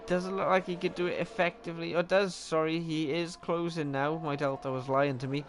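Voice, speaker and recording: Young Welsh male race commentator, a touch high-pitched, casual and self-correcting, steady noise behind. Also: brisk. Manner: chatty, self-mocking, corrects himself often. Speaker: sim racing league commentator.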